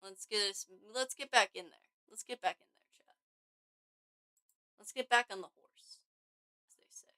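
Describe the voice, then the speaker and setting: A woman's voice: mid-range voice, unhurried, pauses often, pushed and bright. A young woman streaming her game development, chatting casually to her viewers while she codes, her voice worn from a week of talking. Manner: rambling, self-interrupting, talks to chat. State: voice tired from overuse. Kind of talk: casual live-stream chat while coding.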